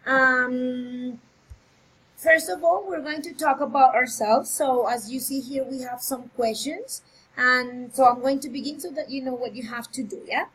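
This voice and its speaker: A woman's voice: high-pitched, unhurried, a bit bright, husky, low-quality recording. A woman in her thirties from Colombia, an English teacher giving an encouraging online lesson over a video call. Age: 30s. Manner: friendly, encouraging, switches between Spanish and English.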